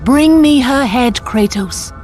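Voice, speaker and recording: An actress playing an imperious goddess of love in a video game, speaking in a commanding, formal, theatrical manner. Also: female voice, sits high, animated, slow pace. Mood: commanding, enticing. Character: imperious Greek love goddess granting deadly powers. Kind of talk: dramatic video game acting. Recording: clear music behind.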